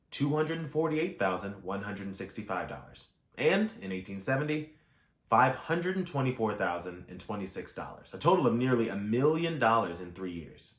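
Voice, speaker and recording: A man reading historical nonfiction aloud in a measured, formal way, phrasing each clause clearly. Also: lowish voice. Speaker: audiobook reader. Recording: roomy, echoing voice recording.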